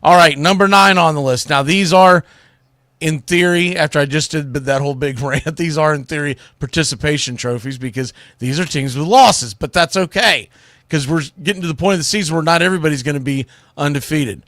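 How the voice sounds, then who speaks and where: A man's voice: on the high side, a little fast, a bit bright, no room sound, studio quality. A man hosting a sports radio show, giving opinionated commentary in a blunt, emphatic, folksy way with salty slang.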